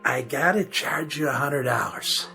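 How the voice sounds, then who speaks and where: A man's voice: medium pitch, unhurried, bright, forceful tone, faint music behind. Folksy working-class repairman. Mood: apologetic, firm. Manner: folksy, ungrammatical, hesitant. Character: plain-spoken working-class repairman, apologetic but firm. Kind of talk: audio drama acting.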